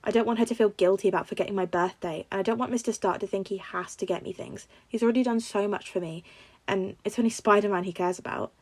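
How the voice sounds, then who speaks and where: A woman's voice: mid-range voice, steady tone, fast talker, a little mellow, close to an everyday voice. Woman reading as shy, awkward teenage boy. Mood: subdued. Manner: hesitant, defensive.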